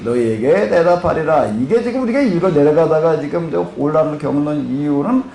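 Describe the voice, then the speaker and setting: A man's voice: fairly high pitch, animated, fairly quick, vocal fry, mellow. A male pastor with a Jeolla accent lecturing at a Bible seminar, rambling with many fillers and putting questions to his listeners. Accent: Korean Jeolla dialect. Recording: echoey room with faint noise.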